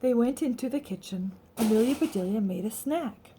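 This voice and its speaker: A woman reading a children's story aloud in an expressive, teacherly way, as if explaining to young listeners. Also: fairly high pitch, normal speed, background noise. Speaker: storybook reader.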